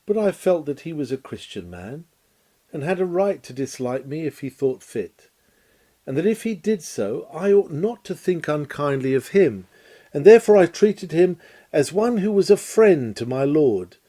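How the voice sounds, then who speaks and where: A man reading a lecture aloud as scripted narration, in a measured, emphatic, oratorical manner. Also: a little high, very animated, mellow. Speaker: reader of a historic sermon lecture.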